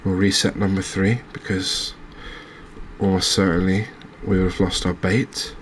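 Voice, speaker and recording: A man giving relaxed, understated ASMR-style commentary as host of a gaming channel. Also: deep voice, unhurried, slight background noise.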